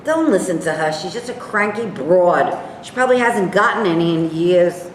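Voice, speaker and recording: A woman's voice: fairly low pitch, lively intonation, moderate pace, natural voice. Woman acting a crusty combative veteran, echoey hall. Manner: blunt, combative. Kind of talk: staged reading. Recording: echoey hall, faint noise.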